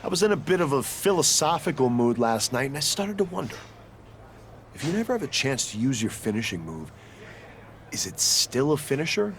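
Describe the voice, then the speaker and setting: Smug young wrestler dude in a game cutscene, condescending, bit of background noise. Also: male voice, mid-range voice, sing-song, a little fast. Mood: smug musing. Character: cocky young male pro wrestler taunting rivals. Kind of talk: video game cutscene acting.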